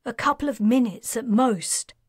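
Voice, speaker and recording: A woman's voice: a little high, moderate pace, breathy edge, no echo, clean studio sound. A woman voicing a frantic, scolding young mage woman. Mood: grieving. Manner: sharp and scolding, near tears.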